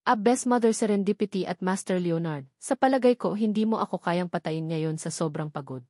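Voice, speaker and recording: A woman's voice: on the low side, a little fast, dark tone, no room sound, studio quality, natural voice. A woman reading the part of a cold, taunting male martial-arts villain in a story, mocking and arrogant.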